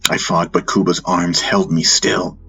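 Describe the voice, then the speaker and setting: A man's voice: low voice, little pitch movement, unhurried, fairly bright tone. A man narrating a fantasy audiobook in the first person, with intense, solemn, dramatic storytelling. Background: music bed.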